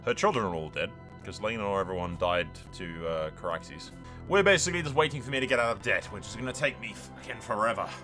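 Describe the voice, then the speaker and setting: A man's voice: on the low side, animated, quick pace, bright, forceful tone, faint music behind. A man giving casual, sweary game commentary, slipping into mock-grandiose role-play narration. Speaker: gaming video host.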